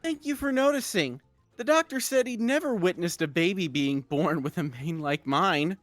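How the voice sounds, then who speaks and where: A man's voice: high voice, animated, normal speed, a bit bright, no echo, soft background music. A man voicing a self-pitying, melodramatic female pony with theatrical delivery. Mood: gracious, modest.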